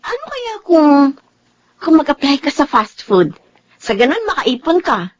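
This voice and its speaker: An actress playing a concerned, practical young best friend with a Filipino accent in a radio drama. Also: female voice, high voice, wildly swooping pitch, measured pace, full character voice. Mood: helpful. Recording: faint background noise.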